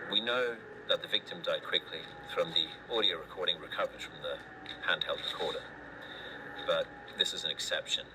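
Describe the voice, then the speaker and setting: A low-pitched male doctor, played by an actor, dictates a grim horror report. He is formal, clinical and detached, choosing his words deliberately. The tape is clearly noisy. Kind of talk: scripted analog horror narration, recorded report.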